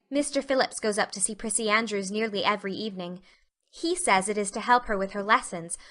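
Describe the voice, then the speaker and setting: A woman's voice: fairly high pitch, sharp tone. A woman voicing an earnest, chatty young schoolgirl in a storybook, wordy and melodramatic in manner. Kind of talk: storybook character dialogue.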